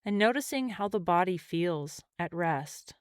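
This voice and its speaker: A woman leading a guided meditation as a mindfulness teacher, speaking gently and in measured phrases with pauses. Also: on the low side, lively intonation, clear, resonant tone, no echo, clean studio sound.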